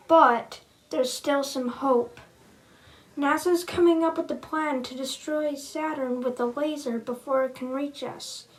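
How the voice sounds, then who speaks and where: A woman reading a scripted mock emergency broadcast as its announcer, in a halting, amateur way with pauses mid-sentence. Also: high-pitched, rough recording. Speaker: emergency broadcast announcer in a hobby scenario video.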